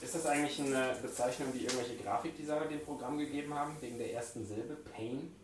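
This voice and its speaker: Dry, sarcastic co-host, mid-pitched voice, a little off mic, roomy echo. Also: quick pace. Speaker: podcast co-host. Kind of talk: casual chat. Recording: echoey, somewhat distant mic with faint noise.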